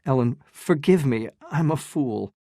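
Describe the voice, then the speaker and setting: An earnest young gentleman, as a storybook narrator reads him, low and urgent and close to plain narration. The voice is mellow and dry, with no room around it. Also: lively intonation, a little slow, no echo.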